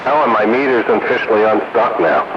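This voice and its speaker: A man's voice: on the low side, animated, brisk. A man chatting casually and colloquially as host of an on-air CB radio roundup, heard over a radio transmission. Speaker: amateur radio operator hosting an on-air roundup. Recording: noisy hissy muffled two-way radio transmission.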